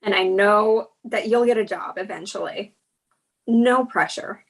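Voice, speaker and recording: A woman's voice: mid-range voice, unhurried, poor audio. A woman playing a candid, exasperated young girlfriend with a wry manner in a comedy script table read. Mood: apologetic.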